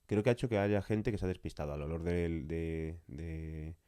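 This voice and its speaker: A man's voice: low-pitched, steady tone, moderate pace, warm tone, smooth, dry recording, clean studio sound. A man with an accent from Spain talking casually and emphatically in a podcast conversation, repeating phrases for stress. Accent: Spanish (Spain). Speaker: podcast interviewer.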